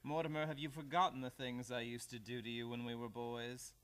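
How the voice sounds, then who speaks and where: A menacing villain, played by a man in an old-time radio thriller. His mid-pitched voice is hard and cutting, with cruel, taunting delivery. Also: level tone, fairly quick, fairly bright tone, lightly acted. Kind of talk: live old-time radio play acting.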